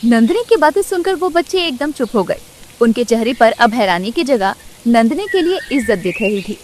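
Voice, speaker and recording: A woman narrates a cartoon story in a steady storytelling manner. Her voice sits high. There is faint noise behind it. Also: normal speed. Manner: even, storytelling.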